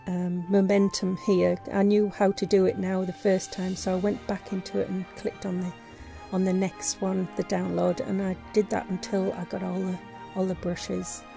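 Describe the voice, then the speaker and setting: A woman with a sore, fading voice talks through a casual tutorial voice-over, her tone gravelly and mellow. She hesitates often, restarting her sentences. Soft music plays faintly behind her. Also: medium pitch. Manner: hesitant, many fillers and restarts. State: losing her voice, sore throat. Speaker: vlogger. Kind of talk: casual screen-recorded tutorial. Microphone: voice-over on screen recording.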